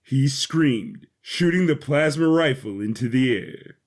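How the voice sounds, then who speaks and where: A man's voice: medium pitch, animated, unhurried. A man reading scripted, dramatic narration for an audio drama.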